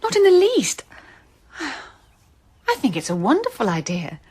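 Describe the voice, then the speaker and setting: A woman's voice: sits high, wildly swooping pitch, slow pace, a little mellow, exaggerated voice. A woman playing a kindly, genteel lady in a radio drama, polite and well-spoken. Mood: warm, earnest.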